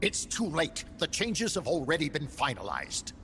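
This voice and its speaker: An actor playing a cold, calculating villain in a video game cutscene, lecturing in a measured, authoritative way. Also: male voice, on the high side, wildly swooping pitch, pushed and bright, slight background noise, full character voice. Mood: cold, didactic. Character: cold, calculating male nuclear strategist villain. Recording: long hall reverb, music behind.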